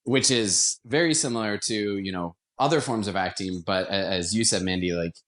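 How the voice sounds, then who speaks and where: A man speaking as a guest actor, explaining things casually like an animated storyteller. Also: on the low side, moderate pace. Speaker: guest, actor and theater producer.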